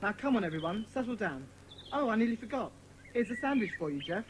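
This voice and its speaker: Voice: mid-range voice, sing-song, fast talker, slight background noise, close to an everyday voice. An actor playing a bossy but kindly well-spoken British boy who leads a children's secret club in a dramatised audiobook. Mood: bossy, reassuring.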